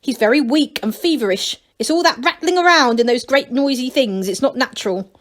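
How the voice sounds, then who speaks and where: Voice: high voice, a little fast, fairly bright tone. A bossy old gnome granny from a storybook, as a woman reader puts her on. She scolds and gives orders, self-satisfied and firmly no-nonsense.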